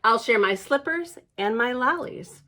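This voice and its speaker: A woman voicing a possessive, hat-loving female bear in a picture book, with emphatic, drawn-out refusals. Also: fairly high pitch, lively intonation, bright, forceful tone. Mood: insistent.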